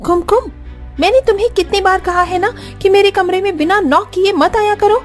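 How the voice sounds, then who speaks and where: A woman's voice: very high voice, normal speed, faint music behind. A woman voicing a vain, snappish young woman in a cartoon story, with a scornful, showy manner. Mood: scornful, irritated.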